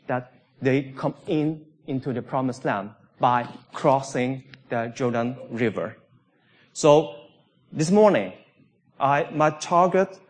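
A man from Sweden giving a sermon as a visiting pastor in a church, speaking in halting, non-native phrasing. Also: medium pitch. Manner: slow, halting delivery with non-native grammar.

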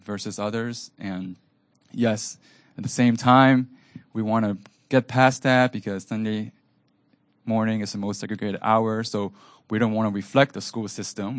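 A man whose first language is Cantonese, moderating a panel and asking a long, searching question with many pauses and ums. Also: on the low side, no echo. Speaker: event moderator.

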